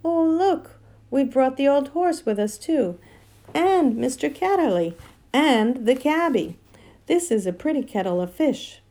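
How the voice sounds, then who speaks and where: Woman voicing a high, plucky young girl. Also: lively intonation, warm tone, heavily acted. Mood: surprised. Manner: brisk, exclaiming. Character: plucky, bossy young girl, quick and outspoken. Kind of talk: audiobook character acting.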